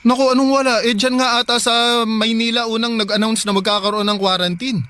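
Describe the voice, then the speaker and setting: A man's voice: very high voice, steady tone, fairly quick, bright, forceful tone. A Filipino man playing an anxious, protective husband, fretting and urging in conversational radio drama dialogue. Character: anxious, protective provincial husband on a phone call. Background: faint music.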